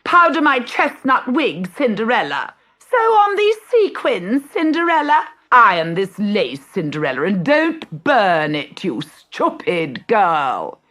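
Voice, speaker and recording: A woman's voice: medium pitch, wildly swooping pitch, slow pace, few pauses, a bit bright, voice pushed up, full character voice. A woman voicing spiteful, jeering ugly stepsisters in a storybook reading, bossy and sneering. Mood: bossy, shrill. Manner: sneering, imperious.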